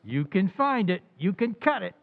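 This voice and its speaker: A man doing a deadpan waiter in a radio comedy, with a very high voice. The sound is thin and phone-like, with a long hall echo behind it. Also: moderate pace, gravelly, full character voice. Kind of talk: old-time radio comedy acting.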